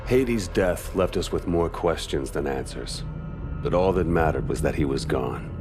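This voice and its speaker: A man's voice: very deep voice, measured pace, over music. An actor playing a weary soldier narrating his war story in a scripted cinematic style. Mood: somber, reflective.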